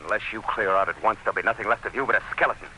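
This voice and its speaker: An actor playing an earnest district commissioner in an old-time radio drama, speaking with dramatic emphasis. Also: male voice, fairly low pitch, rapid-fire, bright, forceful tone, slight background noise, low-quality recording. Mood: urgent, alarmed. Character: earnest, urgent male district commissioner warning of doom.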